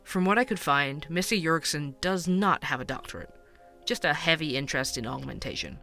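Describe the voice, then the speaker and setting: Bright-voiced, wry investigative podcast host. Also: male voice, fairly high pitch. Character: investigative true-crime podcast host, male, wry and curious. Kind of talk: scripted narration, fiction podcast. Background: music.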